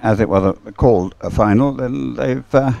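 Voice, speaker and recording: British man, live sports commentator, medium pitch, conversational delivery, hesitant phrasing. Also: normal speed, creaky, warm tone. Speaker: race commentator.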